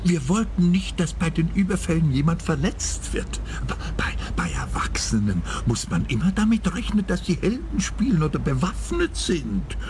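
An actor playing an elderly, lovestruck petty robber in a radio play, earnest and self-justifying, stammering and repeating himself. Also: male voice, on the high side, wildly swooping pitch, darkish tone. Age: 70s. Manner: halting, stammering, repeats words. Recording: background noise, ambience with vehicles.

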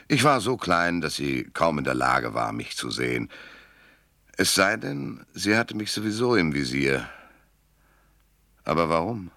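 An actor playing a world-weary, laconic private detective who narrates in a dry, sardonic, gravelly way in a German noir radio drama. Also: male voice, deep voice, very animated, measured pace, pauses often, pushed and bright, no room sound, exaggerated voice. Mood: brooding, measured.

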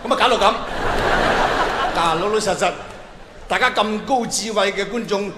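A man's voice: high voice, moderate pace. A middle-aged Hong Kong Cantonese man doing live stand-up, chatty and colloquial, putting questions straight to a big audience. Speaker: stand-up comedian. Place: large indoor arena stage. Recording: echoey arena PA, long reverb, noisy.

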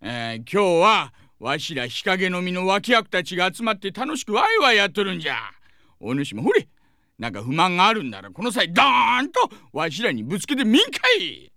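A man's voice: high-pitched, sing-song, brisk, sharp tone, no echo, studio quality, exaggerated voice. A man playing a hearty, folksy old man with old-fashioned speech in a comedic audio drama. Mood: jovial, coaxing. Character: jovial old man, folksy bit-player inviting company.

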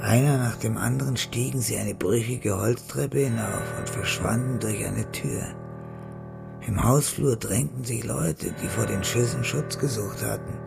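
A man narrating a scripted crime radio drama in a gravelly voice. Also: mid-range voice, unhurried, over music.

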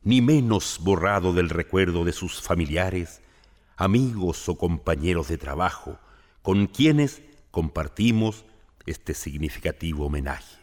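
A man's voice: deep voice, animated, measured pace, darkish tone. A man giving a solemn commemorative speech with recited verse. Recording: long hall reverb.

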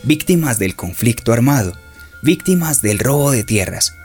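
A man's voice: medium pitch, sing-song, normal speed, darkish tone. A man delivering scripted narration for a radio drama. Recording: clear instrumental music behind.

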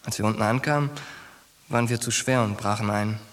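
A man's voice: fairly low pitch, steady tone, brisk, fairly bright tone, lightly acted. An actor playing a brash, cocky young soldier in a German radio drama, coarse and pushy with his boyhood friend. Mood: reminiscing.

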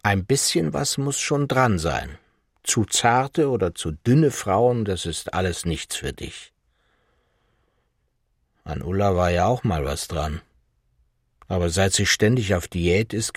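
Deep, husky forgetful old professor. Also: male voice, animated, takes it slowly, no room sound, studio quality, exaggerated voice. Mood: wry, resigned. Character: forgetful retired old professor, crude, self-pitying inner voice. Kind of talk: literary second-person monologue.

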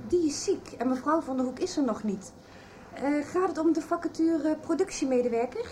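A very high-voiced receptionist. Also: female voice, animated, fairly quick, dark tone, a little hiss or hum. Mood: formal, apologetic. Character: apologetic, formal office receptionist. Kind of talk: 1990s Dutch radio soap acting.

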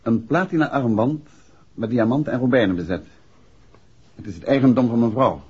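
Very husky, composed gentleman detective, muffled. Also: mid-range voice. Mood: measured, businesslike. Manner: measured, deliberate. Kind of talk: 1960s Dutch radio drama acting. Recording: muffled old 1960s radio recording.

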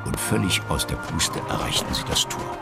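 A man's voice: expressive, normal speed, exaggerated voice. A man narrating a historical children's adventure as scripted radio drama storytelling. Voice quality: very deep, gravelly. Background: music.

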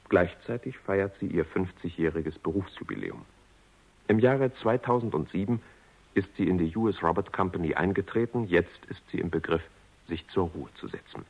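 A man with a husky voice giving measured, factual scripted narration for a radio drama. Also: low-pitched. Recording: narrow-band vintage radio recording.

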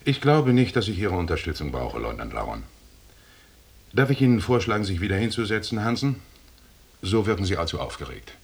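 A stern male expedition commander in a radio drama, coolly condescending and formal. His deep voice is husky, and he lectures in measured phrases. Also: very animated, exaggerated voice. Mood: icy rebuke. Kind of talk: 1980s German radio drama acting.

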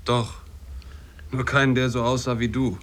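An actor in a radio play voicing a small, plain-spoken sailor who is teasing and probing but helpful. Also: male voice, mid-range voice, flat delivery, moderate pace, a bit bright, natural voice. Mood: suspicious, teasing.

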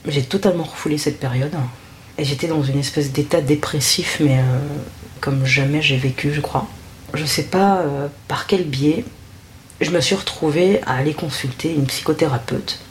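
A woman from France, a journalist, recounting her experience in a thoughtful, measured way with frequent hesitations. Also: sits low, darkish tone, slight background noise. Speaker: journalist, interviewee. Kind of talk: reflective interview testimony.

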